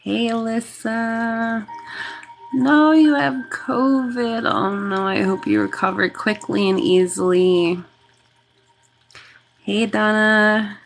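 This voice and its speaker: A woman's voice: mid-range voice, lively intonation, takes it slowly, creaky, soft background music. A woman hosting a reiki livestream, greeting and thanking viewers by name in a casual, chatty way while congested and sniffly from a cold.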